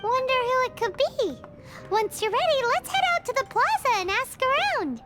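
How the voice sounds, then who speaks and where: Very high, squeaky childlike fairy sidekick. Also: female voice, sing-song, normal speed. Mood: musing. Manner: perky, blunt, teasing. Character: tiny, cheerful, blunt childlike fairy companion. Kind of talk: video game voice acting. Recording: loud game music behind. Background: music.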